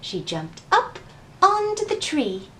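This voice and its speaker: A woman leads a storytime for young children in a high, very lively voice that swoops up on questions. She takes her time, explaining and reading in a sing-song way. The sound is roomy and a little distant from the microphone. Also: takes it slowly. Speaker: children's library storytime host. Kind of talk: children's storytime instruction and picture-book reading. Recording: roomy, distant mic, faint background noise.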